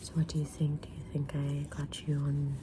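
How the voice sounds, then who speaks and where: A woman whispering softly in an ASMR roleplay as a kind, mysterious witch reading tarot, with a gentle, soothing delivery. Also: low voice, completely flat delivery, background noise. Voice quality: breathy unvoiced whisper. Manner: gentle, soothing, unhurried fortune-teller delivery. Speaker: ASMR creator playing a tarot reader.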